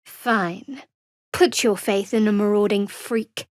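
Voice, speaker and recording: A woman's voice: mid-range voice, steady tone, takes it slowly, dry recording, close to an everyday voice. An actress playing a guarded, sardonic young female cleric in a fantasy video game, speaking in a dry, clipped manner.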